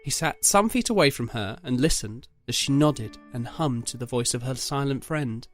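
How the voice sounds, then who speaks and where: Male story narrator over soft music. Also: medium pitch, animated, normal speed. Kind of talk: scripted dramatic story narration.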